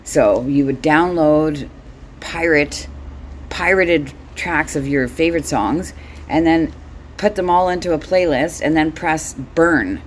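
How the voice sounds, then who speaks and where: A woman's voice: low voice, slow, a little hiss or hum. A middle-aged woman chatting casually in a comedic monologue, blunt, wry and sweary, as the host of her own show. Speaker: solo host.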